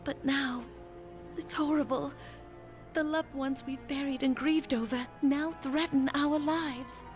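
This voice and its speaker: A fearful townswoman in a fantasy game. The voice is high and mournful, and music is clearly heard behind it. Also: steady tone, takes it slowly. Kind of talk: fantasy game character acting.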